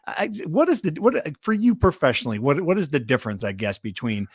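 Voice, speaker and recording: A man's voice: medium pitch, very animated, brisk, husky, dry recording. Male podcast host, dry close sound. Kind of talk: casual interview chat.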